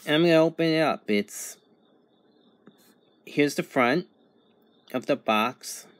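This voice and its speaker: Slow-paced man narrating a product review. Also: fairly high pitch, no echo. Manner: halting, methodical, in short phrases. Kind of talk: casual unboxing and review narration.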